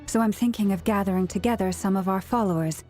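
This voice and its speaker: An actress playing a composed, serious young female leader in an anime-style game, measured and earnest. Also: medium pitch, mellow, soft background music, natural voice. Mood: serious, resolute.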